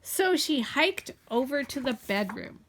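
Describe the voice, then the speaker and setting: Lady reading a kids storybook, bright voice, takes her time, super animated and playful. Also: high voice. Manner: playfully wry, deliberate, addresses young listeners. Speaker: children's story reader. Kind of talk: animated storybook read-aloud.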